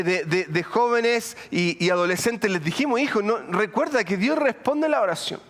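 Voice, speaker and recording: A middle-aged man with a Colombian accent, preaching a sermon in an animated, humorous way with asides to the congregation. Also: high-pitched. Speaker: pastor. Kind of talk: sermon with storytelling.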